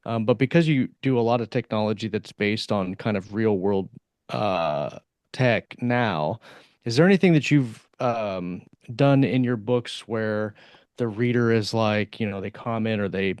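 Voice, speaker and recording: A man hosting a podcast, asking long, meandering questions in a casual, halting way. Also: on the low side, darkish tone, no echo. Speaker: podcast host. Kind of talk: casual interview chat.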